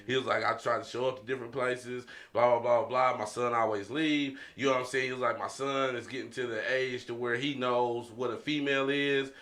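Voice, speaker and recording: A man's voice: mid-range voice, fairly even intonation, fairly quick, hardly any pauses, a bit bright. A man hosting a podcast in casual, slangy chat, often repeating his catchphrases. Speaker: podcast host.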